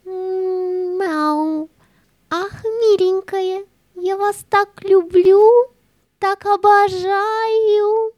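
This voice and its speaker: Sneaky sweet kitty for a kids audio play, super high voice, dry sound, cooing and sucking up. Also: female voice, steady tone, a little mellow, no echo, heavily acted. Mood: fawning. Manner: wheedling, cooing, drawn-out sweet talk. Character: sly, fawning female house cat, sweetly wheedling.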